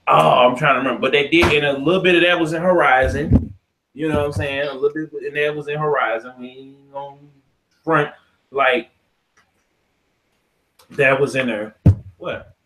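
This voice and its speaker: A man's voice: on the high side, steady tone, normal speed, long pauses, bright, forceful tone, a little hiss or hum. An American man hosting a podcast, talking casually and opinionatedly in a slangy, profane, ranting manner. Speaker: podcast host. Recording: echoey untreated room.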